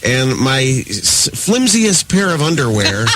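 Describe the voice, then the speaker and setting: Lively male radio co-host. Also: medium pitch, measured pace, very noisy. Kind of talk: casual chat, storytelling.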